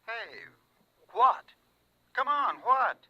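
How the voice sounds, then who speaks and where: A man playing a concerned, brisk husband talking over a telephone in an old-time radio drama. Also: very high-pitched, big pitch swings, no room sound, heavily acted. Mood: probing, affectionate. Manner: casual, probing, affectionate. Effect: telephone filter. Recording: dull telephone-filtered old radio sound.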